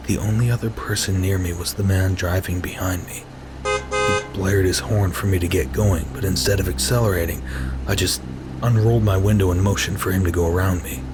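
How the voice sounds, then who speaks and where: A man's voice: sits low, heavy background noise, close to an everyday voice. A man voicing an anxious, obsessive-compulsive office worker turning coldly violent, in a tense, dramatic first-person horror narration. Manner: dramatic, tense, lurching from hushed murmurs to anguished cries. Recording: music behind the voice.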